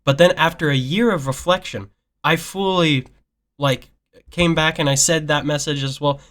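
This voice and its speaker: A man's voice: a little high, moderate pace, fairly bright tone. A young man talking earnestly with a relative in a casual call, rambling with many fillers. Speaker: nephew. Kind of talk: casual phone chat.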